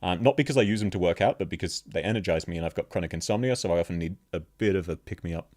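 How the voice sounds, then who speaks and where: Deep bassy guy streaming, chatty, laughs all the time, mic level jumps around as he moves. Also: fast talker, a little mellow. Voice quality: very deep bass voice. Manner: laughs constantly, chatty, answers chat questions. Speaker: VTuber streamer. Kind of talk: casual live-stream chat with viewers. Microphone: streaming microphone, level shifts as he moves.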